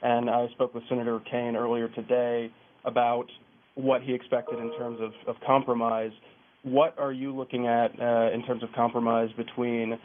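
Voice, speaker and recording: A man's voice: mid-range voice, level tone, normal speed, a little hiss or hum. A man, a reporter, politely asking a question on a press call. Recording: tinny phone line.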